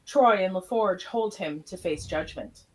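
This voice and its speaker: A woman's voice: on the low side, a little slow. A woman reading scripted stage directions as the narrator of an amateur radio play.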